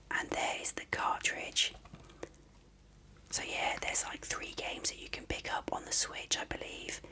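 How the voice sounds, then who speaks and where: Whispered ASMR unboxing host, soft and unhurried. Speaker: video host showing an unboxing.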